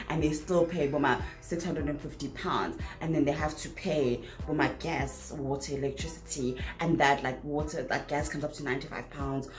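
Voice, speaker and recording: A young South African woman with a South African accent chatting in a casual Q&A vlog, animated and quick to laugh, filling her talk with "like". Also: sits low, echoey room. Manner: animated, laughing bursts, lots of "like". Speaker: vlogger. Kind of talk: casual Q&A chat. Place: small bedroom indoors. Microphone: laptop camera at desk distance.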